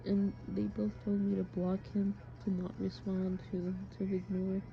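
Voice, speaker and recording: A young adult woman talking haltingly and informally, as if into a personal audio diary. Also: medium pitch, level tone, faint music behind. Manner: hesitant, halting, informal with swearing. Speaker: audio diarist. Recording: muffled home recording, clear background noise. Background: background noise, friends' voices.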